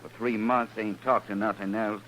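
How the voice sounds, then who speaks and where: An actor playing a proud, stubborn Texas cattle trail boss with folksy, defiant talk in a 1950s radio western. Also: male voice, lowish voice, level tone, normal speed, fairly bright tone, lightly acted, poor audio. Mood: stubborn, challenging. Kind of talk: 1950s radio drama acting. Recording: vintage radio recording, faint hum.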